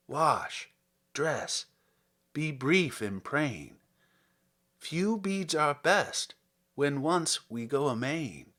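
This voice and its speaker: A man reading classic poetry aloud in a theatrical, deliberate and expressive manner, as a podcast host. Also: fairly high pitch. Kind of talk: dramatic poetry recitation.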